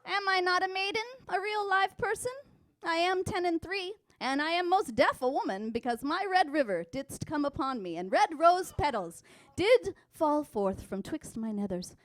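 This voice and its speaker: A woman's voice: extremely high pitch, lively intonation, moderate pace, no room sound. A woman playing a vain, lovestruck, dramatic thirteen-year-old noble maiden in a comic mock-Shakespearean monologue with modern slang. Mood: indignant, sassy. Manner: theatrical, exaggerated, indignant.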